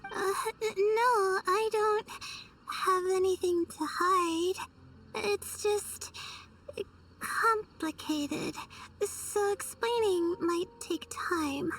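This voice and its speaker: Super high bright anxious student girl for a game, halting, sleepy, slow. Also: distant mic, a little hiss or hum, full character voice, poor audio. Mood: nervous, hesitant. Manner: hesitant, halting, soft-hearted. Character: timid, sleepy, anxious young female student. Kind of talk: scripted video game voice acting.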